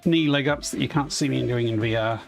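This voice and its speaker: A man with a loud voice chatting casually on a live game stream, rambling as he plays and reading chat aloud. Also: medium pitch, fairly quick, gravelly, soft background music.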